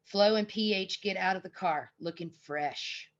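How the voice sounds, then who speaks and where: Woman narrator, medium pitch. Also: a little slow, lo-fi sound. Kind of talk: scripted screenplay narration.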